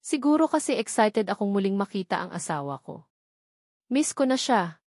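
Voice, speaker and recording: A woman's voice: medium pitch, sing-song, moderate pace, a little mellow, dry recording, studio quality. A woman reading a romance novel aloud as a dramatic first-person narration. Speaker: audiobook narrator.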